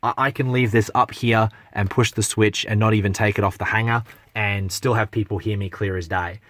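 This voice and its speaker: A man's voice: fairly low pitch, fairly even intonation, a little fast, fairly bright tone, dry recording. A man giving a casual, unscripted product review, blunt and matter-of-fact, with some hesitation as he talks.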